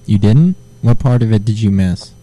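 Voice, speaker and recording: A man with a very dark, mellow voice placing a prank call. He talks low and deadpan, baiting the person on the line. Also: moderate pace. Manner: deadpan, provocative. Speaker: prank caller.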